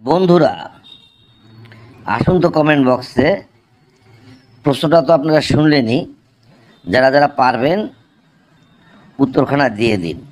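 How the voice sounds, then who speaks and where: ধীরলয়ের বাংলাদেশি পুরুষ কুইজ উপস্থাপক. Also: male voice, mid-range voice, a little mellow. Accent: Bangladeshi. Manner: formal, explanatory, deliberate. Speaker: quiz host. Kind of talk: scripted cartoon quiz presenting.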